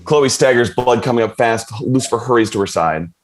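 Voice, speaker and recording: A man's voice: mid-range voice, fairly quick. A man reading stage directions as scripted narration for a dramatic table read.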